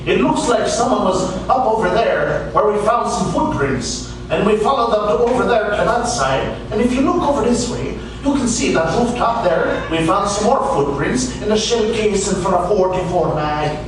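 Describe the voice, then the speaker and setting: An earnest male junior cop played by an actor reports eagerly in a radio play. His voice is high for a man and sits far from the mic in a very echoey space. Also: expressive, normal speed, few pauses. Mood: earnest, busy. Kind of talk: noir radio drama dialogue. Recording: echoey room, distant mic, noisy.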